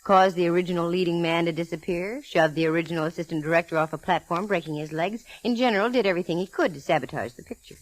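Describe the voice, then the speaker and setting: An actress playing a sharp, breezy female private eye in 1940s radio style, brisk and teasing. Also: on the low side, natural voice, low-quality recording. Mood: brisk, knowing. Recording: vintage 1940s radio recording.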